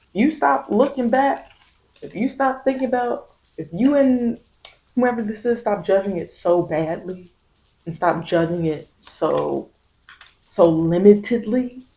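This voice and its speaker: A woman's voice: medium pitch, warm tone, poor audio. A woman giving an informal ASMR tarot reading, coaching the listener with emphatic, repeated phrases. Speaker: tarot reader.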